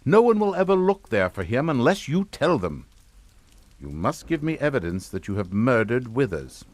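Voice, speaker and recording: An actor playing a calm, menacing middle-aged father in a suspense radio drama, with clipped, authoritative delivery. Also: mid-range voice, moderate pace, no echo, natural voice. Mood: cold menace. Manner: clipped, authoritative, matter-of-fact.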